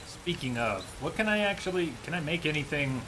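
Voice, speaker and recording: A man's voice: a little high, expressive, fairly quick, noisy background. A man streaming a video game, thinking aloud in casual, musing commentary. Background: game music and rain.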